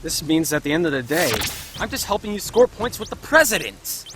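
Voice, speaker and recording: A man's voice: a little high, fairly even intonation, quick pace, noisy background, natural voice. A man voicing a hot-headed, grumbling teenage schoolboy in an anime fan dub, blunt and exasperated. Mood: indignant.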